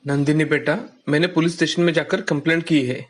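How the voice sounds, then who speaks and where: A man playing a humble, caring village father in a children's animated story, earnest and gentle in manner. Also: a little high, fairly quick, natural voice. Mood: reassuring, resigned.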